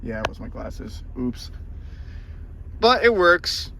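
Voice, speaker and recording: A man with a bright, forward voice talks casually, like a hobby vlogger showing off a project. He rambles informally and sometimes stutters or repeats words. There is some noise from the car interior around him. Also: mid-range voice, sing-song, slow pace, gravelly. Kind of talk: casual chat to camera. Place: inside a car. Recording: noisy vehicle interior.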